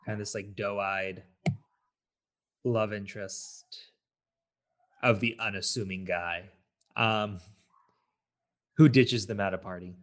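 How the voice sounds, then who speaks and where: A man co-hosting a podcast, reviewing films in casual, halting talk built from short fragments. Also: lowish voice, fairly even intonation. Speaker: podcast co-host. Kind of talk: casual film review chat.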